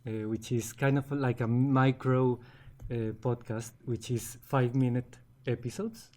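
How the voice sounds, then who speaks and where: A man's voice: medium pitch, little pitch movement, unhurried, darkish tone. A man in his forties from Colombia with a Colombian Spanish accent, a guest in a casual interview who often restarts his words. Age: 40s.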